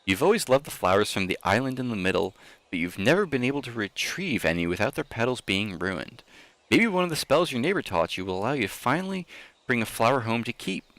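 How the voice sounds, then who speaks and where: A man reading a story aloud in a theatrical, expressive manner, with casual asides, like a streamer hosting for an audience. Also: mid-range voice, brisk, no room sound.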